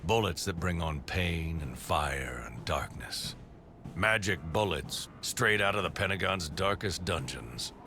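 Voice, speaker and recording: Very deep-voiced cynical American soldier. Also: male voice, animated, unhurried, sharp tone, soft background music, exaggerated voice. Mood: sardonic, menacing. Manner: blunt, sardonic, drill-sergeant swagger. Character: gruff, cynical, foul-mouthed male American military officer. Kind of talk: video game cutscene acting.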